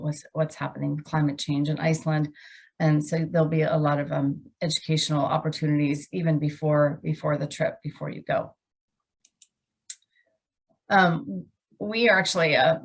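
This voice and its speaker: A woman with a low, gravelly voice hosts an online presentation for her travel company. She speaks haltingly, often restarting her sentences. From United States. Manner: hesitant, many fillers and restarts. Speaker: travel company founder and webinar host. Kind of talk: webinar presentation.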